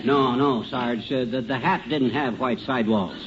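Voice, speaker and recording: An actor playing a blustering, muddled, folksy know-it-all husband in a 1950s radio comedy. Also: male voice, medium pitch, moderate pace, lightly acted. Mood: muddled, insistent. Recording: dull, noisy old radio recording.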